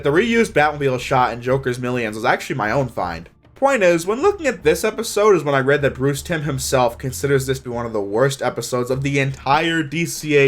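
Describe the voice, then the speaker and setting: Young guy doing a scripted review, kinda high voice that swings a lot, informal and opinionated. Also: a bit bright. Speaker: video reviewer. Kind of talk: scripted review narration, casual.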